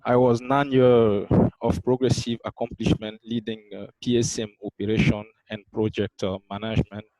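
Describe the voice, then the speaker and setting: A pharmacist from Burkina Faso with a Burkinabe French accent, giving a hesitant graduation speech as a graduate. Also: male voice, lowish voice, level tone, normal speed, darkish tone, dry recording, rough recording. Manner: hesitant, with many fillers.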